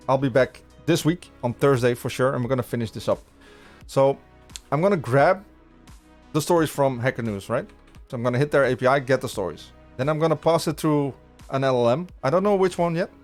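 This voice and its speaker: A Dutch man, speaking English as a second language, talks loosely to his stream viewers at an ordinary middle pitch, stumbling over restarts and repeating words as he thinks aloud. Faint music runs underneath his close, clean podcast-mic sound. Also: normal speed, a little mellow. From Netherlands. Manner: rambling, many restarts, chatting with viewers. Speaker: livestream coding host. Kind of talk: casual live-coding stream chat. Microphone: studio podcast mic.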